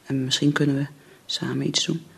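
An actress playing a desperate, frightened alcoholic woman in a radio drama, with a husky, breathy voice and halting, broken phrases. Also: very deep voice, level tone, exaggerated voice. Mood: despairing, halting. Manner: halting, broken phrases, often near whispering.

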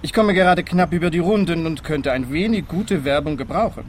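A man's voice: fairly high pitch, a little fast, a little hiss or hum, natural voice. Chatty used car salesman, lightish voice, acted by a man. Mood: candid, rueful. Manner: eager sales patter, candid. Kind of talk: radio play acting.

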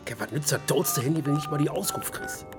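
A man's voice: a little high, wildly swooping pitch, fast, over loud music. An actor playing a harried, middle-aged man in trouble in a radio drama, talking in a colloquial, exasperated way. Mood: frustrated. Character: harried, broke man in trouble with police, a father. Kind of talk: naturalistic radio drama acting.

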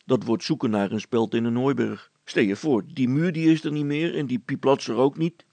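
A man's voice: mid-range voice, normal speed, creaky, mellow, dry recording. A man voicing a plain-spoken, worried and sceptical amateur inventor in a storybook, talking in a colloquial, grumbling way. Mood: doubtful, grumbling.

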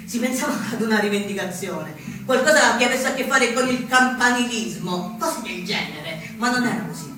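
An actor playing a wry male anarchist recounting a revolt in a measured, dramatic theatre monologue with deliberate pauses. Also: very high voice, animated. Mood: wry, narrating. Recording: very echoey stage, distant mic, noisy.

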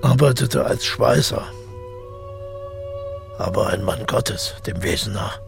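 An actor playing a reclusive, brooding countryman who muses haltingly over his memories in a radio play, with a gravelly, husky voice. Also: on the low side, over music, exaggerated voice. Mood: somber remembering. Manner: musing, halting monologue.

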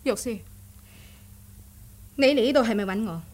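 An actress playing a guarded, stubborn martial-arts aunt in a radio drama, speaking firmly as a stern elder. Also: female voice, a little high, moderate pace, darkish tone, natural voice. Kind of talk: Cantonese radio drama acting.

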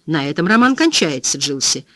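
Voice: medium pitch, very animated, moderate pace, sharp tone, no room sound. A reader voicing a polite, bewildered male publisher who answers in a subdued, apologetic way. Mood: flustered. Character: man, flustered, polite book publisher.

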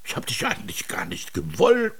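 A man's voice: medium pitch, huge pitch swings, normal speed, gravelly, fairly bright tone, husky, heavily acted. An actor playing a limp, self-pitying older king in an absurdist radio drama, plaintive and theatrical. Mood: sheepish.

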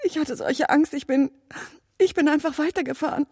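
An actress playing a frightened, pleading wife in distress in a radio drama. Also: female voice, high-pitched, moderate pace, darkish tone, dry recording, clean studio sound.

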